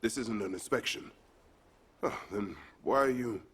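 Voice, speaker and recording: Very deep, husky military officer. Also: male voice, measured pace, a bit bright. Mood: guarded, explaining. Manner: serious, cautious. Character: guarded, dutiful male military officer at a base. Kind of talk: video game voice acting.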